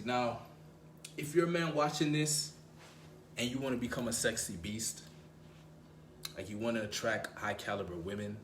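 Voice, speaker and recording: A young man with a low voice, giving dating advice in a casual, slangy way and often repeating himself for emphasis. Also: reverberant, a little hiss or hum. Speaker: dating coach.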